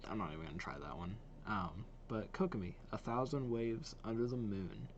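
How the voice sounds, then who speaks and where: A man's voice: lowish voice, brisk, muffled recording, a little hiss or hum. A young adult man chatting casually as a reaction video host, slangy and thinking aloud. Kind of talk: casual chat, reacting to game trailers.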